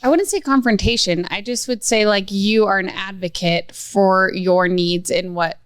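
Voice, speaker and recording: Young female co-host, candid and casual, smooth tone, dry sound. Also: medium pitch, normal speed, dry recording. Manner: candid, swears casually, thoughtful. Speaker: podcast co-host. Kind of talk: casual chat.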